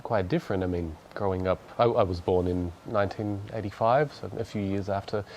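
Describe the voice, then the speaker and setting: A man's voice: lowish voice, steady tone, a little fast, darkish tone, a little hiss or hum, poor audio. A man, answering interview questions hesitantly and chuckling easily. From Australia. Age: 30s. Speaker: guest musician. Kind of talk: casual interview chat.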